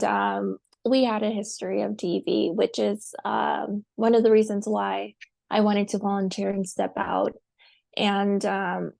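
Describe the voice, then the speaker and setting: A woman from the United States telling her personal story as a guest, speaking softly and haltingly with many pauses. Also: medium pitch, gravelly. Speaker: guest, survivor. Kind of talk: personal testimony.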